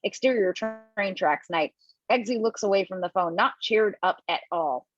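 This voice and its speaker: A woman reading the stage directions in an amateur table read, brisk and quick to laugh at her own slips. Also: mid-range voice, expressive, highs cut off. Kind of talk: amateur table read over video call.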